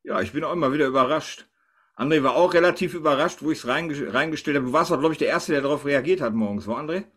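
A male podcast host with a slightly high voice. He talks casually and chattily, steering the banter and teasing his co-hosts as he goes. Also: quick pace, fairly bright tone.

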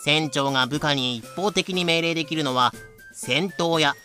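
Explanatory narrator, bright forceful voice, medium pitch, polite scripted asides, faint music behind. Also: normal speed.

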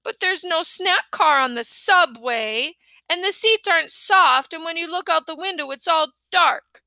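Storybook little boy, pushy, chatty, very high; woman reader doing it. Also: lively intonation, unhurried, sharp tone, dry recording, full character voice. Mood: excited. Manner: eager, wheedling.

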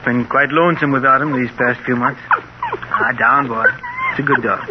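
An actor playing a mild, long-suffering bookkeeper husband with an earnest manner, in 1940s radio acting style. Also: male voice, mid-range voice, normal speed, lightly acted. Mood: calm, confiding. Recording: muffled old radio recording, faint hiss.

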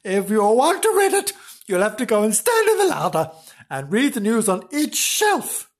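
A shrill, high-pitched housewife, as put on by a storyteller, scolds in exasperation. She exclaims and repeats herself, swooping about like a bossy character in a comic children's storybook. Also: huge pitch swings, normal speed.

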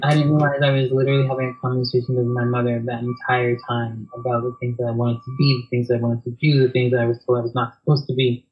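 A soft-spoken man, talking reflectively as an interview guest, hesitant with many fillers and restarts. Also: medium pitch, flat delivery, fast talker, highs cut off.

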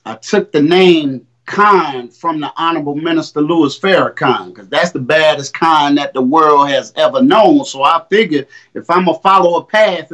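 A man in his fifties speaking with a somewhat raised pitch. He talks casually and boastfully, full of street slang, as an interview guest. His voice comes through a makeshift mic rather than a studio setup. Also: fairly bright tone. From Indiana, US. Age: 50s. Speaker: guest, rapper and coach. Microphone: makeshift mic, not a studio one.

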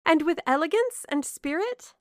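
Woman acting an ardent, high-pitched young lady. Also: animated, moderate pace, dry recording, studio quality. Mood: excited. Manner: eager, breathlessly emphatic.

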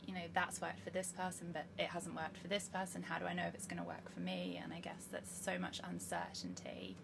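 A woman's voice: lowish voice, fairly quick. A woman hosting a podcast, friendly and giggly, with lots of ums. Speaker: podcast host. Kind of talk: casual podcast interview. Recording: gravelly voice with hiss.

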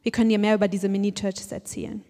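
A woman's voice: medium pitch, little pitch movement, quick pace, mellow. A woman giving a sermon in a reflective way, often putting questions straight to her listeners. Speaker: preacher. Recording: long hall reverb.

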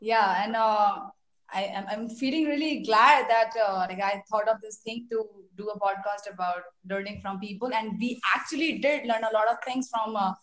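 A woman's voice: medium pitch, moderate pace, a bit bright, poor audio. A woman co-hosting a podcast, chatting casually in a bubbly way and laughing often. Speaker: podcast co-host.

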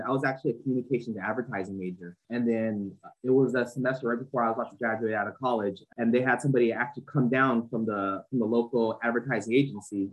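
Man in his forties, from southern california, husky voice, quick pace, rambling casual chat. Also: medium pitch, lo-fi sound. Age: 40s. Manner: rambling, many fillers and restarts. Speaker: podcast guest, fashion entrepreneur.

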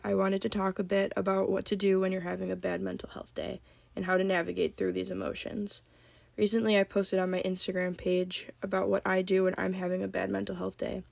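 A young woman with a slight cold hosting a solo podcast, talking calmly and gently. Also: mid-range voice, gravelly, no echo. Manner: calm, gentle, reflective. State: has a cold. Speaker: podcast host. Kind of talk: solo podcast talk on mental health.

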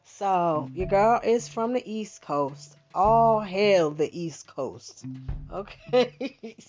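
Slangy, slow-talking Philly woman podcaster. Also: medium pitch, expressive, a little mellow. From Bahamas-born, raised in Philadelphia. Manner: chatty, slangy, lots of fillers and asides. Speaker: podcast host. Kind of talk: casual monologue. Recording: dull lo-fi sound with guitar music behind.

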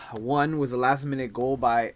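A young man hosting a casual podcast chat, hoarse from losing his voice, laughing often. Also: mid-range voice, steady tone, measured pace, slight background noise. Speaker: podcast host.

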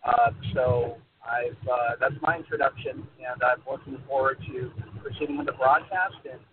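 A man's voice: medium pitch, moderate pace. A young adult American man introducing himself informally as a podcast guest, in a stop-start, hesitant way. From United States. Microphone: online voice call. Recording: noisy, phone-like voice-call audio.

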